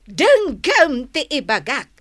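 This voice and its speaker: A woman's voice: high voice, huge pitch swings, fairly bright tone, dry recording, clean studio sound, exaggerated voice. A woman with an Ilocano accent playing an older storekeeper who scolds and advises in a comedy radio drama. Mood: exasperated, lecturing. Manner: exclaiming, drawn-out, emphatic admonishing.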